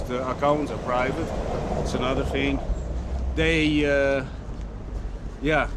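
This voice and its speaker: A man's voice: fairly high pitch, background noise. A man from the Netherlands, talking casually to camera in a rambling way, often restarting and searching for his words. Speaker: vlogger.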